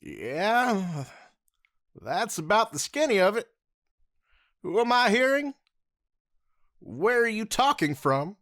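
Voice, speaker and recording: A man's voice: high voice, big pitch swings, fairly bright tone, full character voice. A man as a sarcastic young masked hero. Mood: bewildered. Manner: sarcastic, casual, quick to swear. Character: cocky young male masked rider hero. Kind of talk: fan audio drama.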